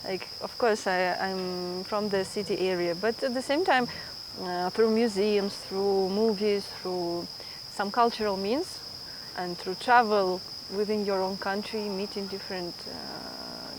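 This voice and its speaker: A woman whose first language is Russian hosts a podcast in English, speaking at a middle pitch and often stopping to hunt for the right word. Faint insect chirping runs quietly behind her. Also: big pitch swings, a little slow, noisy background. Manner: hesitant, searches for words with many fillers. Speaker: podcast host. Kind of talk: conversational interview.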